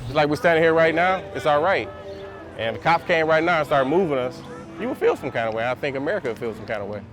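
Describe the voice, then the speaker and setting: A man's voice: on the high side, expressive, fast talker, music in the background. A man, a protester, speaking in a plain, reflective way in an interview outdoors on a city street. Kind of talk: interview testimony.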